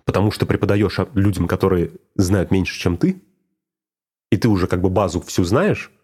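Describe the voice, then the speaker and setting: Mellow low-voiced man in casual talk. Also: moderate pace, husky. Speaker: teacher of speech exercises. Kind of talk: casual reflective conversation.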